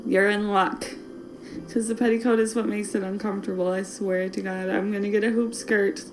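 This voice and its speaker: A woman's voice: mid-range voice, little pitch movement, moderate pace, a little hiss or hum, rough recording. A woman with a Wisconsin accent hosting a casual podcast, talking in a playful, jokey, chatty way. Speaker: podcast host. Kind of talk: casual fashion podcast chat.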